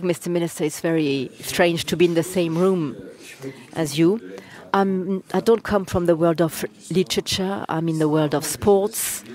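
An interpreter relays audience remarks in real time at a panel discussion. The voice sits at an ordinary middle pitch. It stalls on fillers and restarts half-finished phrases as it catches up. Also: a little mellow. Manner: hesitant, frequent uh fillers and restarts. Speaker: conference interpreter. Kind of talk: simultaneous interpretation of audience questions.

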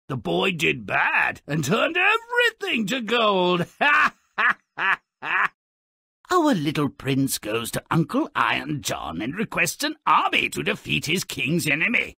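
An actor voicing a sardonic, gleefully morbid gnome-like troublemaker who tells fairy tales in a mocking, theatrical, gravelly way for a cartoon game. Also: male voice, sits high, pushed and bright, dry recording, studio quality, exaggerated voice. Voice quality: gravelly, raspy. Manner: theatrical, sarcastic, mocking storyteller. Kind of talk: scripted cartoon game narration.

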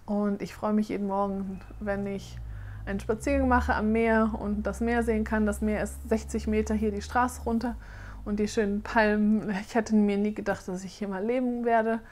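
A woman in her thirties from Germany, chatting casually on camera in a question-and-answer vlog and often pausing with fillers as she searches for words. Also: mid-range voice, fairly even intonation, darkish tone. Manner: chatty, hesitant with frequent fillers. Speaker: doll-making vlogger. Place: living room, seated on a sofa.

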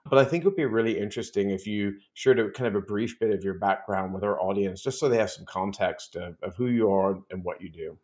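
Man, middle-aged, fairly low voice, podcast host, chatty, restarts sentences, video call from a home office. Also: fast talker, hardly any pauses, a little mellow. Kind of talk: casual interview chat and scripted intro.

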